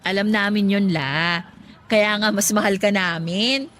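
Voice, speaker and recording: A woman playing an affectionate, teasing younger married woman in a Filipino radio drama, speaking in a gently reassuring way. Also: mid-range voice, steady tone, a little slow, slight background noise, natural voice.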